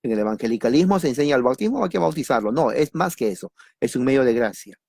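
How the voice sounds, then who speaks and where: A Peruvian man preaches a teaching sermon in a middle-pitched voice, recorded dry and close with no room sound. He keeps repeating key words and answers his own questions. His delivery is lively, with jokes tossed to the listeners. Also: quick pace, gravelly, slightly rough, no room sound. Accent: Peruvian Spanish. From Peru.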